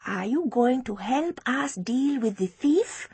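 A woman voicing a bossy, shrill young schoolgirl in a children's story, demanding and accusatory. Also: animated, slow pace, darkish tone, breathy edge, dry recording, exaggerated voice.

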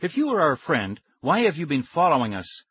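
Man voicing a bossy, lively young girl, raised pitch. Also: brisk, breathy edge, no room sound, highs cut off, exaggerated voice. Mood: suspicious. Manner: eager, insistent, demanding. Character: pushy, lively girl, bossy and suspicious friend.

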